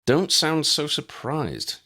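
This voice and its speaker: A male narrator plays a young woman in an audiobook, bold and teasing. The voice is pitched up well above his own and moves about in a lively way. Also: slow, full character voice. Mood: brisk.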